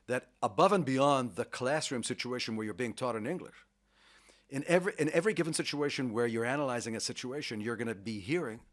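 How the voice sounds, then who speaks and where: A middle-aged man speaks with eager enthusiasm in a radio interview. He talks at length, picks up sports metaphors and sometimes restarts a word mid-sentence. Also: mid-range voice. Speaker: university vice president, interviewee.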